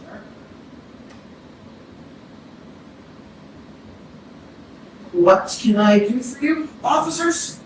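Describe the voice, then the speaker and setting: A woman's voice: a little high, expressive, unhurried. Woman acting a guarded young man, echoey stage. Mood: guarded, polite. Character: troubled, guarded young man being questioned by police. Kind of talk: staged play reading. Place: arts centre theatre. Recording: echoey theatre, distant mic, room noise.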